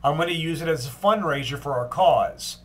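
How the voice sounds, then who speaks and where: Older man, congested from a cold, fairly high voice; plain unhurried diary-style update. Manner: plain, unhurried talk with frequent ums and restarts. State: stuffed up from a cold. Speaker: long-distance walker giving a daily update. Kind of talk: casual video diary.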